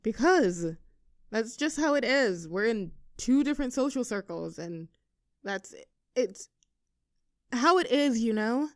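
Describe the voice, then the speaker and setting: Casting: woman as teen cheerleader bully for audio drama, higher voice, sarcastic but secretly soft, close dry sound. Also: animated, slow, long pauses, dry recording. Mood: defensive, hesitant. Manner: teasing, sarcastic, with flustered stammers when cornered. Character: snarky popular high school cheerleader bully hiding a soft crush. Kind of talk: audio roleplay acting, one side of a dialogue.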